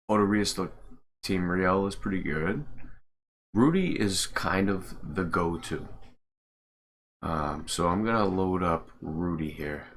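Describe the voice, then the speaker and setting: A man with a very deep voice talks casually to viewers of his game livestream. He takes his time, speaking slowly and informally as he thinks aloud at the computer. A faint layer of noise sits behind his voice. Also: fairly bright tone. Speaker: game streamer and modder. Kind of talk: casual livestream chat while working at a computer.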